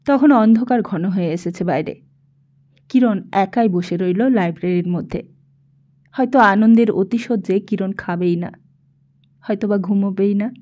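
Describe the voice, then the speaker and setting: Woman reading a novel aloud, mellow relaxed tone, expressive storytelling, bit dull recording. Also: medium pitch, unhurried, pauses often. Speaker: audiobook narrator. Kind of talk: expressive scripted literary narration.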